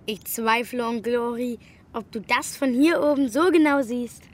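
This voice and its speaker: A woman's voice: on the high side, moderate pace, slight background noise, lightly acted. A woman playing a clever, cheeky young boy in a German radio play, answering with careful precision. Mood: thoughtful, cheeky.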